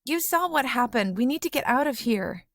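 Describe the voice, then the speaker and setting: A male narrator voicing an earnest young woman in a soft, breathy falsetto for an audiobook. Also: lively intonation, normal speed, dry recording, studio quality. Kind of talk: audiobook character voice.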